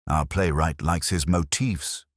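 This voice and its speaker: A man voicing a mild, shrewd, unhurried police inspector in an understated, musing way for a mystery story. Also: a bass voice, fairly even intonation, dry recording, clean studio sound. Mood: dry musing.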